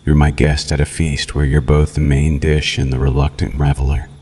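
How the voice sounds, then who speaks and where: A man's voice: rumbling low, level tone, moderate pace, mellow. A man playing a cruel, sadistic vampire captor in an ASMR roleplay, menacing and mocking in a theatrical way. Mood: cold, menacing. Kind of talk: ASMR roleplay, scripted villain monologue.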